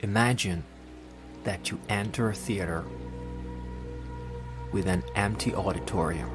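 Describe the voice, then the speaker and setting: A man with a low-pitched voice narrates a guided meditation. He moves very slowly through short, deliberate phrases and leaves long pauses between them. Faint music plays behind his voice.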